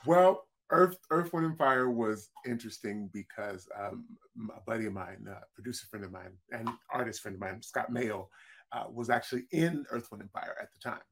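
A man with a deep voice, a singer-songwriter, telling stories in a relaxed interview and often restarting his words. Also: normal speed. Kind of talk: casual interview storytelling.

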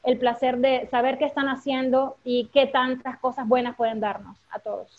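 A woman joins a podcast chat, upbeat and full of questions. Her voice is high. The sound comes through dull and lo-fi. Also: flat delivery, normal speed. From born in Argentina, raised in Maracay, Venezuela. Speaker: podcast co-host.

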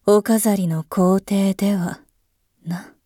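A woman voicing a world-tired figurehead emperor who speaks in archaic, imperious phrasing, musing slowly and half-whispering in a voice drama. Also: medium pitch, fairly even intonation, mellow, no room sound, clean studio sound. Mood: brooding. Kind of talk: voice drama monologue.

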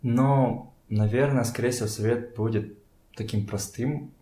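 A young man chatting casually as an interview guest, informal and halting as he searches for words. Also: mid-range voice, fairly even intonation, mellow. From Kazakhstan. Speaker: podcast guest, photographer and art director. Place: indoors in a home. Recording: echoey room.

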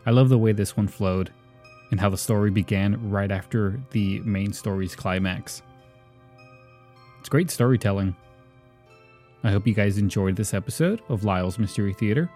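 A man's voice: on the low side, moderate pace, pauses often, a little mellow. A man hosting a podcast with casual, conversational commentary. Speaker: podcast host. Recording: music behind the voice. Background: faint music.

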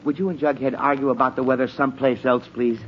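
A man's voice: mid-range voice, fairly even intonation, quick pace, natural voice. An actor playing an exasperated, sputtering middle-aged father in a 1940s radio comedy. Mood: weary, exasperated. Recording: muffled, hissy 1940s radio recording.

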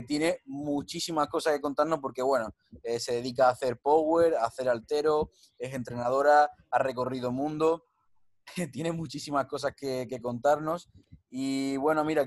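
A man's voice: mid-range voice, no echo. Man hosting, dry sound. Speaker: podcast host and strength coach. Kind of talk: casual podcast interview chat.

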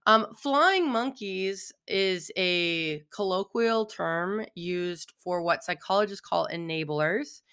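A woman hosting her own podcast as a philosophical counselor, talking alone with a slightly hoarse, froggy voice and a chatty manner full of "um" and "right?". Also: lowish voice, animated, takes it slowly, few pauses, fairly bright tone. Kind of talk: solo podcast monologue.

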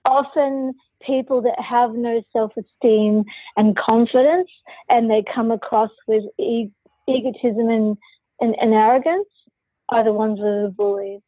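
A woman's voice: on the high side, steady tone, unhurried, husky, no room sound, narrow, tinny audio. A woman who is a podcast guest, telling her personal story candidly and forthrightly in an interview.

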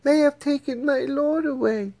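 A man voicing a grieving, plaintive woman disciple in a high falsetto for a dramatised Bible reading. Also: normal speed, gravelly, mellow. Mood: distressed. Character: Mary Magdalene, grieving, tearful young woman disciple.

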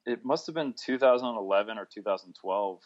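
A man's voice: fairly low pitch, animated, brisk, lo-fi sound. A thirty-year-old man from the United States, a podcast guest calling in remotely and speaking in an easygoing, plain-spoken way. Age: 30s. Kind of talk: casual interview. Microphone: remote call.

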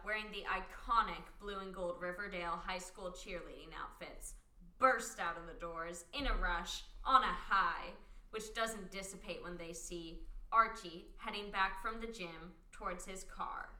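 A woman's voice: fairly low pitch, normal speed, sharp tone. A woman reading scripted stage directions as the narrator of a radio play.

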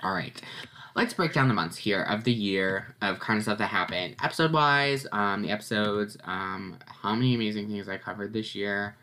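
A man's voice: lowish voice, normal speed, sharp tone. Chatty teen boy with a stuffy nose. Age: teens. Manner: chatty, upbeat, lots of ums and laughs. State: slightly congested, sniffly. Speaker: podcast host. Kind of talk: casual solo podcast recap.

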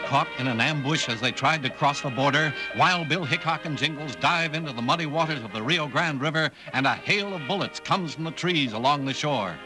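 A man's voice: on the high side, a little fast, hardly any pauses, over music, poor audio. A man narrating an old radio western in a dramatic scripted announcer style.

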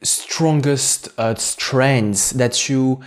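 A man hosting an interview, asking questions in a careful, formal way with many hesitant "uh" pauses. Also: mid-range voice. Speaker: interview host.

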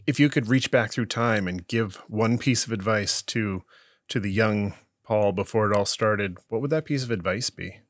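A man's voice: on the low side, moderate pace, no echo. A man hosting a casual interview, laid-back, asking questions and giving short replies. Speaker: interview host.

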